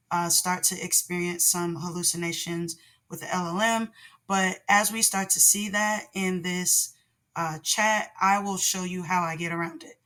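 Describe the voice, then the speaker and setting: A woman hosting a casual tutorial vlog, hoarse from laryngitis, with a naturally deep voice and a rambling way of talking full of ums. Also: bright, forceful tone. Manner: rambling, with many ums and restarts. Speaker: channel host, product designer.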